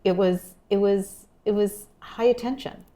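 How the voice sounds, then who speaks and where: A woman's voice: mid-range voice, steady tone, a little slow, warm tone. A woman hosting an interview podcast, asking questions and prompting her guest with frequent short affirmations. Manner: engaged, prompting, frequent backchannel. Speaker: podcast host. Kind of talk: conversational interview.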